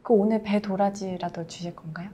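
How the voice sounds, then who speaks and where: A woman's voice: mid-range voice, fairly even intonation, fairly quick, noisy background. A woman co-hosting a health show, chatting casually and reacting in a playful way. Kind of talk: casual chat.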